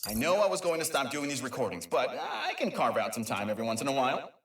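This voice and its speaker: Echoey, animated, nerdy creature inventor. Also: male voice, medium pitch, quick pace, far from the mic, slight background noise. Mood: giddy, excited. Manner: rambling, excitable, stammering. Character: eager, nerdy, kind male Lombax inventor and dimension mapper. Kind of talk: informal recorded audio log in a video game.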